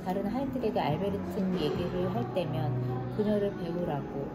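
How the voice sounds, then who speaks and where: A woman reading a literary novel aloud in a calm, measured voice. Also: on the low side, over loud music. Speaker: audiobook narrator. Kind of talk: literary narration. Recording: loud background noise.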